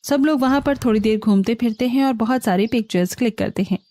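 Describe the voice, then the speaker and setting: A woman's voice: a little high, quick pace, warm tone, dry recording, soft background music. A woman reading scripted narration for a cartoon family story.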